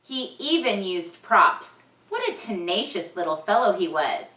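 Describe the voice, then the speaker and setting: Slow, expressive woman teacher, bright voice. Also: medium pitch. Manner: expressive, deliberate, repeats key words. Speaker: teacher reading aloud. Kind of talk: vocabulary lesson and storybook read-aloud for children. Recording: echoey room, distant microphone.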